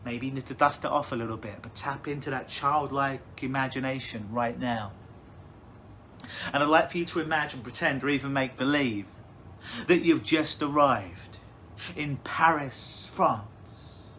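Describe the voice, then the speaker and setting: A man leading a guided hypnosis visualization, speaking in slow, soothing, suggestive phrases. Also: mid-range voice, a bit bright, a little hiss or hum.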